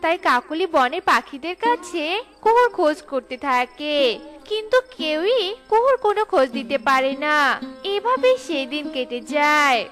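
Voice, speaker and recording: Lively high-voiced woman narrating a cartoon. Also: normal speed. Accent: Bengali. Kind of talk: storybook narration. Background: children's music.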